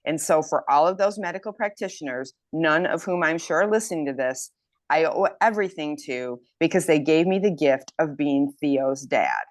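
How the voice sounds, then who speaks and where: A woman's voice: low voice, expressive, moderate pace, no echo. A woman in her fifties sharing her health journey in a casual group chat, eager and reading a quote aloud. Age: 50s. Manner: enthusiastic, reads quotes aloud. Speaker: health program member.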